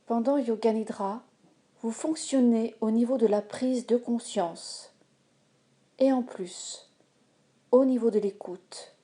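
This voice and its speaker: Woman doing a yoga nidra meditation, highish mellow voice, really calm and soothing. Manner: calm, measured, soothing delivery. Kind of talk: guided relaxation meditation, slow instructions with pauses.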